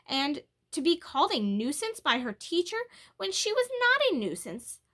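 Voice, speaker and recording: Woman as a high-pitched, indignant little schoolgirl. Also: very animated, moderate pace, fairly bright tone. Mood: hurt, indignant. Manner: indignant questioning. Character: indignant, wounded eight-year-old schoolgirl.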